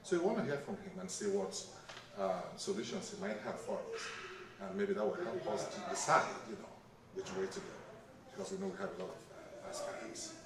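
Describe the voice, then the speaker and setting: A man's voice: lowish voice, huge pitch swings, fairly quick, far from the mic. A middle-aged man from Nigeria, an attendee speaking formally and at length about his hopes for the country. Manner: formal, wordy, many "uh" fillers. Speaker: event attendee being interviewed. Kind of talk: answering interview questions. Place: recreation center, crowd nearby. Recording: very echoey hall, voice from off-mic, crowd behind.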